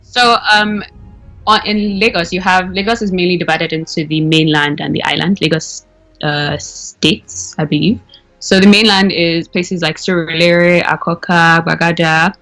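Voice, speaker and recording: A woman's voice: lowish voice, fairly even intonation, a little slow, a bit bright, faint music behind, low-quality recording. A young woman from Nigeria, talking casually as a caller, with lots of fillers and self-corrections. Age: young adult. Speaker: anonymous caller. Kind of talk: casual interview.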